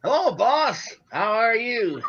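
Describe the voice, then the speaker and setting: A man's voice: very high voice, very animated, slow, muffled recording, heavy background noise, full character voice. A man playing a blunt, gullible, anxious gangster henchman in a 1940s-style radio play, slangy and eager to please. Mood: deferential, blunt.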